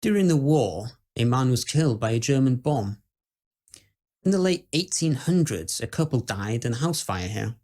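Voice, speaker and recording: A man's voice: medium pitch, animated, moderate pace, darkish tone, lightly acted. A British man playing an uneasy presenter at a haunted house, in a suspenseful documentary-style ghost story.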